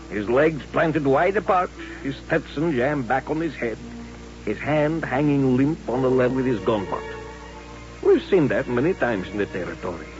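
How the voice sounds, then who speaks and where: An actor playing a folksy western storyteller, narrating a radio drama in a measured, vivid, dramatic way. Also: male voice, on the high side, creaky, heavily acted. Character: folksy western storyteller recalling frontier showdowns. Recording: muffled old radio recording.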